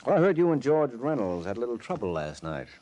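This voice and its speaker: An actor playing a tough, wisecracking, unflappable private detective in a sardonic, clipped 1940s radio drama style. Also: male voice, mid-range voice, very animated, fast talker, warm tone, natural voice. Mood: cool menace. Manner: sardonic, clipped, hard-boiled. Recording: old-time radio recording.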